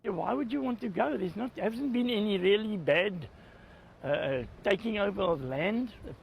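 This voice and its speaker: High, gravelly man, indignant. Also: animated, normal speed, slight background noise. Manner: hesitant, indignant, stumbling. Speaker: interviewee.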